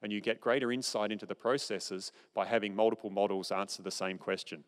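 A man's voice: lowish voice, fairly even intonation, a little fast. A middle-aged man giving a conference keynote lecture from a podium on a large stage, explaining in an informal, conversational way. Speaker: keynote speaker. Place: large dark auditorium stage. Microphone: podium microphone, far camera. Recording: long hall reverb.

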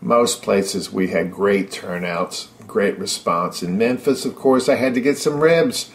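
A man's voice: lowish voice, measured pace. A middle-aged man giving an upbeat, enthusiastic first-person narration of a travelogue.

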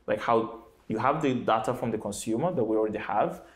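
A man's voice: on the low side, brisk. A young man, a startup founder, answering questions in an office, with frequent restarts and fillers, on a lapel mic. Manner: hesitant, many restarts and fillers. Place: bright office with plants.

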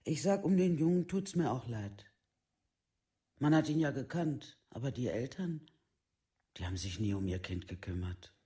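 Casting: male actor as village parent, dark soft-edged tone, colloquial and halting, documentary radio drama. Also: mid-range voice, lively intonation, lightly acted. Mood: resigned. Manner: colloquial, halting, short sentences. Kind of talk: documentary radio play monologue.

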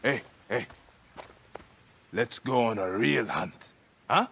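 A man's voice: mid-range voice, expressive, slow, creaky, fairly bright tone, a little hiss or hum, exaggerated voice. An actor playing a determined warrior and father who speaks tersely and resolutely in a game.

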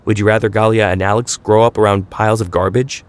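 A man voicing a weary, determined father who speaks in a firm, matter-of-fact way, close to his own low voice. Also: level tone, fast talker, no echo, lightly acted.

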